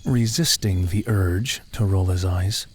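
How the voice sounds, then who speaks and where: A man's voice: low voice, measured pace, darkish tone, breathy edge. A man reading scripted story narration as the narrator.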